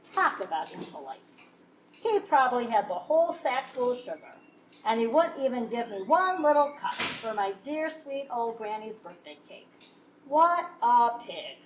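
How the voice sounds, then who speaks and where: A woman voicing an aggrieved, folksy male wolf who insists he is innocent, in an animated children's storybook read-aloud with drawn-out emphasis. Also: a little high. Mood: indignant. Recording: echoey room, distant mic, faint noise.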